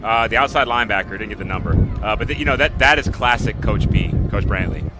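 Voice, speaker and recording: A man giving casual, conversational football analysis as color commentator, often halting as he searches for words. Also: lowish voice. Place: outdoors at a high school football game. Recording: noisy outdoor stadium broadcast.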